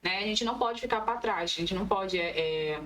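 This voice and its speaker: A woman's voice: mid-range voice, brisk, fairly bright tone, poor audio. A woman talking casually as a guest in an interview. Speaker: podcast guest, comics event organiser.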